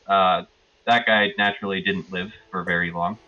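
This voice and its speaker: Low, bright-voiced man recapping hesitantly. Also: steady tone, moderate pace, highs cut off. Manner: hesitant, loosely recalling, hedging. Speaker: player in a tabletop roleplaying game. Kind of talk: casual spoken recap of a game session.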